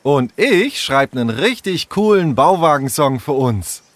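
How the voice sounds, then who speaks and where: A man voicing a thoughtful, helpful boy who thinks out loud in a storybook reading. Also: on the high side, sing-song, a little slow, no room sound, voice pushed up. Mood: easygoing.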